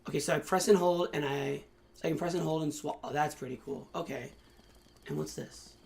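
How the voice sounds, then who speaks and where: Hoarse young man doing game commentary. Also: on the high side, brisk, faint music behind. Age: young adult. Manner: excitable, chatty, many fillers. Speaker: gaming channel host. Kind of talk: let's play commentary.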